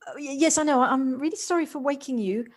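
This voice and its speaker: A woman in an audio play acting an earnest, reflective woman with a halting, tentative delivery. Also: high voice, close to an everyday voice. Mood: anxious, apologetic. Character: earnest, hesitant woman coming home softened and reflective. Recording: home recording on personal device, music behind.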